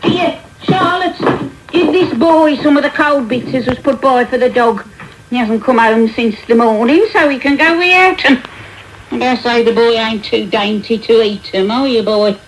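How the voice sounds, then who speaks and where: An actress playing a bossy, stingy, sharp-tongued undertaker's wife with an English accent, scolding brusquely in a radio play. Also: female voice, fairly high pitch, moderate pace, lightly acted. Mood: scornful, brusque. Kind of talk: British radio drama acting. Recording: noisy, crackly medium-wave radio tape.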